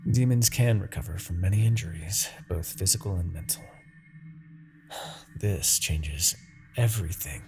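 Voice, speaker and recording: Man acting a haughty, gravelly demon king. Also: sits low, over music. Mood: persuasive, menacing. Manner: theatrical, taunting, drawn-out dramatic delivery. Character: haughty male demon king, seductive, menacing, obsessive villain. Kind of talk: scripted fantasy ASMR roleplay acting.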